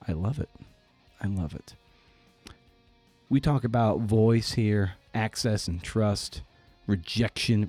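A man's voice: medium pitch, dark tone, no echo. A man hosting a podcast in a casual, playful and informal way, rambling a little as he talks. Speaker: podcast host. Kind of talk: casual conversational interview with scripted introduction.

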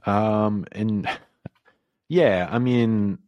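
Low-voiced Southern man, rambling chat. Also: drawn-out words, a little mellow, dry recording, muffled recording. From Southern United States. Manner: rambling, many fillers and restarts, laughs often. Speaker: podcast guest. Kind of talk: casual conversation.

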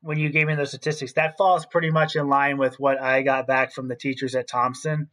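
A man's voice: mid-range voice, level tone, fairly quick, fairly bright tone. A man co-hosting an education podcast as a school principal, explaining his opinions in casual talk.

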